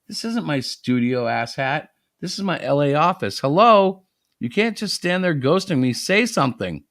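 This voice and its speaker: A man's voice: on the high side, lively intonation, normal speed, fairly bright tone, dry recording, clean studio sound. A man playing a defensive, foul-mouthed screenwriter who rants indignantly in a dramatic story reading. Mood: angry, defensive. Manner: ranting, sweary, indignant. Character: defensive, foul-mouthed hotshot male screenwriter, easily outraged.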